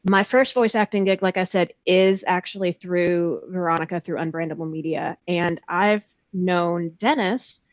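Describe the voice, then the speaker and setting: A woman's voice: fairly low pitch, steady tone, normal speed, hardly any pauses, dry recording, dull audio. A woman in her thirties chatting casually and candidly as a guest, with a self-deprecating, salty way of talking. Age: 30s. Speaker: guest voice actor. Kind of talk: casual chat. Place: indoors, on a video call.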